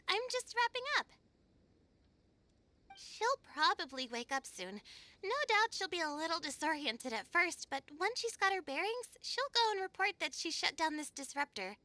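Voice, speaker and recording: A woman's voice: very high-pitched, full character voice. A woman voicing a thoughtful, composed young woman scholar in an anime-style video game. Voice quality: bright, youthful.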